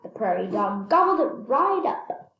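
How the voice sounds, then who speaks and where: A woman reading a children's picture book aloud, slightly halting, repeating phrases and adding casual asides. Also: on the high side, big pitch swings, highs cut off. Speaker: read-aloud storyteller. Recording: echoey room.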